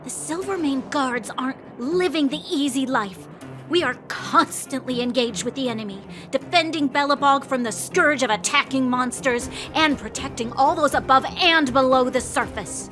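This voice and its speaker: High-voiced, proud guard officer. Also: female voice, moderate pace, fairly bright tone. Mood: defensive. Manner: formal, firm. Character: proud, dutiful young female guard officer, earnest and indignant. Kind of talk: video game voice acting. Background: game music.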